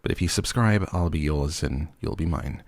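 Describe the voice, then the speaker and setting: A man's voice: extremely low pitch, moderate pace, a little mellow, dry recording. A man hosting a scripted recap with playful, tongue-in-cheek asides. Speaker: host of a recap and review channel. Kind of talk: scripted recap narration with wry jokey asides.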